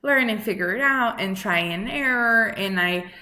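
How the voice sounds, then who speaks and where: A woman, introducing herself to a class in an earnest, measured way with many pauses and fillers. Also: mid-range voice, bright, forceful tone. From Brazil. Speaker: student. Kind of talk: recorded self-introduction for a class.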